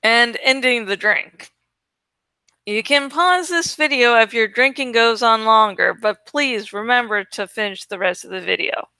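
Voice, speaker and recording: Bright, high-voiced woman chatting casually. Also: smooth, dry recording. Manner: informal, freely swearing. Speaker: host of a book-reading channel. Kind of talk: casual chatty video outro.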